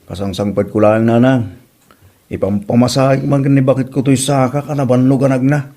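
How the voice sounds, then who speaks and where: A man's voice: mid-range voice, steady tone, normal speed, dark tone, faint music behind, close to an everyday voice. A man with a Filipino accent playing a placating adult son and husband in an Ilocano comedy radio drama. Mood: explaining.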